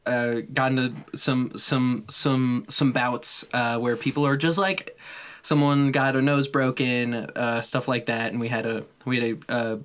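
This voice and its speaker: Halting, self-deprecating man. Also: mid-range voice, flat delivery, fairly bright tone, clear, resonant tone. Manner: halting, self-deprecating, many fillers and restarts. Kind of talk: casual chat.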